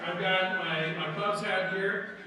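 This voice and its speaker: A young man moderates a candidate forum, running through the rules in an informal, halting way with plenty of fillers. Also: sits high, fairly even intonation, very noisy. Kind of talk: announcements and forum hosting. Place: large hall. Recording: echoey hall, mic heard from a distance.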